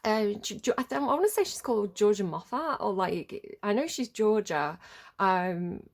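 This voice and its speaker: A woman's voice: medium pitch, animated, normal speed, creaky. Sniffly woman chatting through a tarot reading. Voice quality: slightly congested, sniffly from a cold. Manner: hesitant, conversational, loosely wandering. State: has a cold. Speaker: tarot reader and video host. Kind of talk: casual rambling tarot reading chat.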